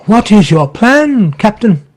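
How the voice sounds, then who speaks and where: A woman playing a cheerful, fawning pirate henchman in an audio play, exclaiming and eager to flatter. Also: medium pitch, slow pace.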